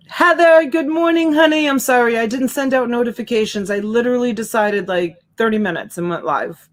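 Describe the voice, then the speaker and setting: A woman in her forties with a slight Boston accent, hosting a casual live-stream auction from home and greeting viewers by name with endearments. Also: medium pitch. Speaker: live-stream seller and host. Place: home room with shelves. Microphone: desk USB mic.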